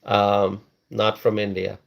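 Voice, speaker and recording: A man's voice: fairly low pitch, completely flat delivery, slow, creaky. A man from Bangladesh hosting a casual live stream, reading viewers' comments aloud and repeating phrases for emphasis.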